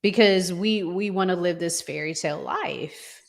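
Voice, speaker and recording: A woman's voice: on the low side, moderate pace, vocal fry. A woman hosting a talk show, giving conversational relationship advice emphatically and repeating phrases to drive them home.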